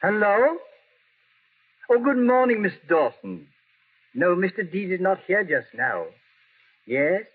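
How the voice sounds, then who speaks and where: An actor playing a formal, deferential manservant in a vintage radio drama. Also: male voice, high-pitched, very animated, takes it slowly, long pauses, breathy edge, exaggerated voice. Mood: polite. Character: polite, deferential manservant answering his employer. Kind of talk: 1930s-40s radio drama acting. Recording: muffled, lo-fi old radio recording.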